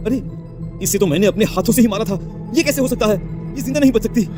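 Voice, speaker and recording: A man's voice: sits high, expressive, fast, music in the background. A man voicing a greedy, scheming villager in a horror cartoon, agitated and cowardly. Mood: shocked, anxious. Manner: agitated, stammering with fear. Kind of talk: Hindi horror cartoon voice acting.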